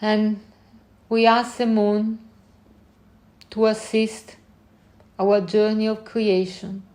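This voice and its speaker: Slow-paced woman guiding a meditation. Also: medium pitch, flat delivery. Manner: gentle, unhurried short phrases with long pauses. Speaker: meditation guide. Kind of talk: guided meditation.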